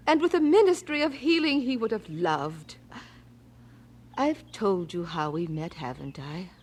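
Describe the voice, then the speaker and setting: Elderly mother, eighties, husky, animated intonation, wistful storyteller, fond, radio-play acting. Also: medium pitch. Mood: fond, reminiscing. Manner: wistful, gently dramatic storytelling with sighs. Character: frail, fond old missionary mother in her eighties, reminiscing.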